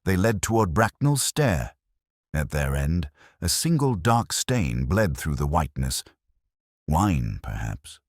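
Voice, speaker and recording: A man playing a melancholy, intellectual police detective who narrates his case in measured, literary first person, like an audio mystery drama. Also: extremely low pitch, sing-song, a little mellow, dry recording, studio quality, exaggerated voice. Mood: brooding, reflective. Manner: measured, literary, brooding delivery.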